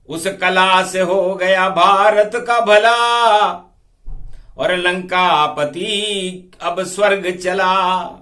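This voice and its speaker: A man preaching in a devotional storytelling style, half-singing rhymed verse in drawn-out, declamatory phrases and breaking into quick spoken explanations. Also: sits high, sharp tone.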